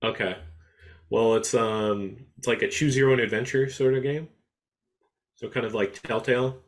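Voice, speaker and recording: A man co-hosting a podcast in casual chat, laid-back and halting, often pausing on "um". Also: medium pitch, steady tone. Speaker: podcast co-host.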